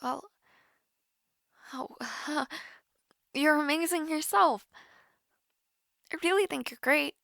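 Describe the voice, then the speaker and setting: A woman playing a nervous, smitten young woman in an intimate romantic audio roleplay, halting and flustered. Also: high-pitched, sharp tone, no room sound, studio quality, full character voice. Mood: tender, bashful. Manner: halting, flustered, breathy sighs and whispers.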